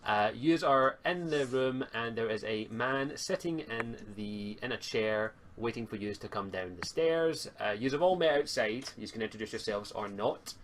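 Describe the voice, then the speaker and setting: A man improvising casual narration as game master of a tabletop roleplay, in a halting way. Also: mid-range voice, a bit bright, slight background noise.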